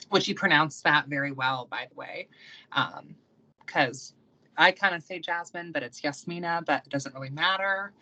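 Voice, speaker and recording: Bright, low-voiced talkative American woman. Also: dull audio. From United States. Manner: rambling, talkative, many ums and likes. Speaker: guest, practicing witch and YouTuber. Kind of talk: casual conversational interview.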